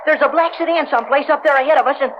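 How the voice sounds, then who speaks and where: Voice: sits high, rapid-fire, lightly acted. An actor playing a keen young boy sidekick in an old radio adventure serial, earnest and exclamatory. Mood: excited. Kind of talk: 1930s-40s radio serial acting. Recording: dull old radio recording, faint noise.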